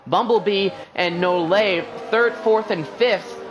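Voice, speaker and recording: A man's voice: high voice, slow, noisy background. Casting: upbeat male play-by-play voice for sim racing streams, loose and chatty. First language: English. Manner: chatty, filler-heavy, upbeat. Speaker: esports racing commentator. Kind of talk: live race commentary.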